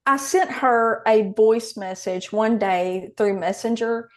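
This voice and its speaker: A woman's voice: on the high side, slow pace. Chatty Southern woman from Texas. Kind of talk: casual group video chat.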